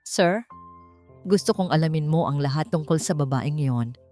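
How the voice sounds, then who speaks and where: A woman's voice: low-pitched, normal speed, warm tone, natural voice. Woman voicing a commanding Filipino male billionaire. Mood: commanding, intent. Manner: authoritative, clipped orders. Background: background music.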